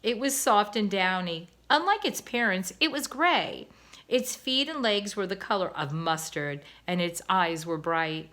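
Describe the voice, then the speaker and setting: A woman, a teacher, reading a children's novel aloud in an expressive, gentle way. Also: medium pitch, measured pace. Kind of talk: storybook read-aloud.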